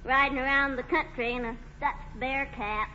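A high, bright small-town New woman. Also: steady tone, moderate pace. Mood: pert, teasing. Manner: folksy, homely turns of phrase. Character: plain-spoken, folksy small-town New England women. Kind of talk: 1940s radio play acting. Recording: muffled, hissy old radio broadcast.

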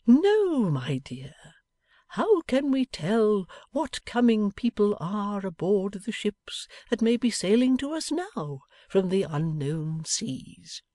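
Lady reader playing a sweet sentimental old dad, tender and speechy, wobbly lively voice, dry sound. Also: mid-range voice, dry recording, pitched above the performer's own voice. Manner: tender and ceremonious, slow and tremulous. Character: fond, cherubic older father, sentimental and gentle.

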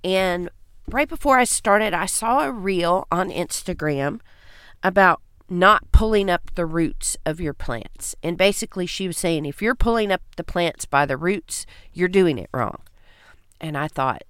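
A woman talks folksy and chatty, her voice stuffed up and congested. It sits on the lower side, smooth and clear, recorded close and dry. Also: lively intonation, measured pace, fairly bright tone, dry recording. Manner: folksy, chatty, says y'all. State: congested from allergies. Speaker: podcast host. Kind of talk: casual homesteading podcast chat.

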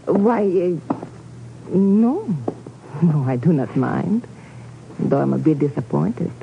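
An actress playing a sly, sultry foreign femme fatale with coy, formal phrasing in a 1950s radio drama. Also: female voice, low voice, lively intonation, slow pace, gravelly, exaggerated voice. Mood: seductive, wary. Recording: muffled, noisy old radio recording.

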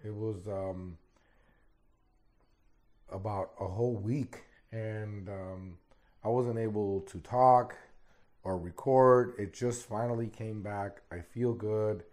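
Mellow-voiced man hosting a solo podcast. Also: lowish voice, steady tone, slow pace. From Arizona, United States. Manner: rambling, repeats words often. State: recovering from lost voice. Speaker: podcast host. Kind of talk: casual solo podcast talk.

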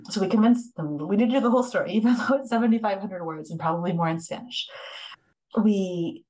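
A middle-aged woman reporter telling her story in a casual interview, quick and animated, with many restarts. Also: mid-range voice, rough recording. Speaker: investigative reporter, guest.

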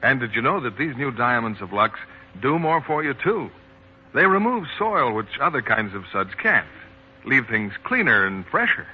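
Animated male radio commercial announcer. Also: mid-range voice, normal speed. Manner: emphatic, salesmanlike. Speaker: announcer and sponsor spokesman. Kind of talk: 1940s radio commercial pitch. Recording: dull, faintly noisy 1940s radio broadcast.

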